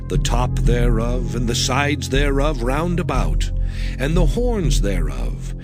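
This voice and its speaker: A man playing a solemn voice of divine instruction in a dramatized scripture reading, deliberate and emphatic. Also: medium pitch. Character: solemn male voice reciting God's detailed sacred instructions.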